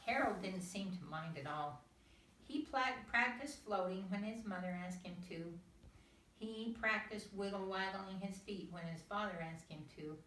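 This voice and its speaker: An older woman, a grandmother, reading a children's story aloud in an expressive, deliberate manner. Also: medium pitch. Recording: roomy, distant mic, faint noise.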